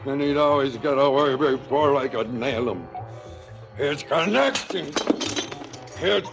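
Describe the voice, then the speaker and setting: An actor playing an embittered, maimed police chief, brooding and theatrical in a radio drama monologue. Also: male voice, mid-range voice, vocal fry. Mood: frustrated, rising. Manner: deliberate, brooding, theatrical storytelling. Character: embittered, maimed middle-aged police chief, coldly vengeful. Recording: music under the voice. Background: music.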